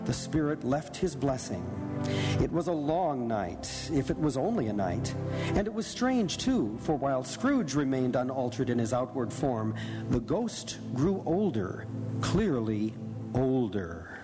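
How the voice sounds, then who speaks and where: A man giving measured, theatrical narration of a story for a live radio drama. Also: medium pitch, sing-song. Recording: echoey theater hall, music behind.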